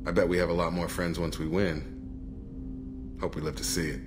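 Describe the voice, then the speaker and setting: An actor playing a dry, world-weary alien soldier and loyal comrade in a video game, wry and easygoing. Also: male voice, deep voice, little pitch movement, moderate pace, background noise, lightly acted. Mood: wry, reflective. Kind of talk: video game character acting.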